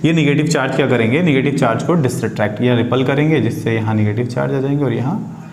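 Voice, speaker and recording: A man's voice: mid-range voice, fast, darkish tone. Male teacher, echoey room. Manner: repeats key words and phrases, asks and answers own questions; mixes Hindi with English technical terms. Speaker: chemistry teacher. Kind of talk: informal classroom-style lesson for students. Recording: roomy echo with faint background noise.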